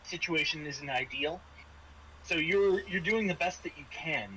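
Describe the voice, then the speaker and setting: A man's voice: fairly high pitch, unhurried, bright, forceful tone, slight background noise, poor audio. A man narrating a tabletop horror game as game master, in a conversational, descriptive storytelling way.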